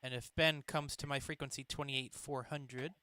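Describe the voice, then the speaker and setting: A man giving a casual, matter-of-fact step-by-step software demonstration on a livestream. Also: medium pitch, steady tone, moderate pace. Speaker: participant demonstrating radio software. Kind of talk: casual step-by-step screen-share demo.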